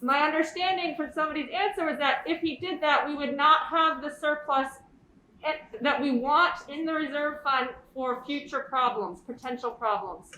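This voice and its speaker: A woman on a town selectboard, speaking up loudly through a mask that muffles her voice, pressing questions in a meeting. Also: high-pitched, moderate pace, reverberant, a little hiss or hum, rough recording. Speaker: selectboard member. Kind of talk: meeting discussion.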